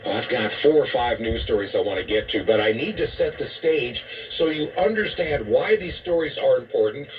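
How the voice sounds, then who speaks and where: A man's voice: medium pitch, lively intonation, brisk, few pauses, husky, far from the mic. A man delivering an opinionated talk radio monologue over shortwave, emphatic and disapproving, posing rhetorical questions. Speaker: radio host and commentator. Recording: muffled, noisy shortwave radio broadcast.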